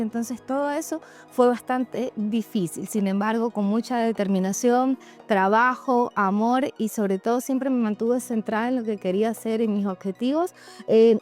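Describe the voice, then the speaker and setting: Higher-voiced woman over music. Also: darkish tone. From Venezuela. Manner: candid, reflective, addressing listeners directly. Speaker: host of a personal podcast or vlog. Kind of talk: personal reflective monologue to followers. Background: background music.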